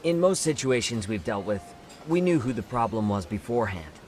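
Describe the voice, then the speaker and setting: An actor playing an easygoing, shrewd private investigator in a video game, with a casual, knowing manner. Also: male voice, lowish voice, sing-song, fairly quick, darkish tone, faint music behind. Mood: matter-of-fact. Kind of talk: video game cutscene acting.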